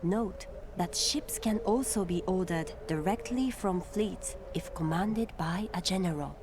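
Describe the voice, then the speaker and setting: A woman voicing a game's tutorial advisor in calm, measured, scripted narration. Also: on the low side, noisy background, lightly acted. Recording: game audio with music.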